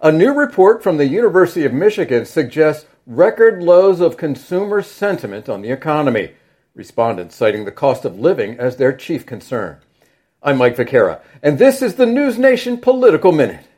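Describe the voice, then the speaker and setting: A man anchoring a news segment as bureau chief, in a measured news delivery. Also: on the high side, sing-song, darkish tone, husky.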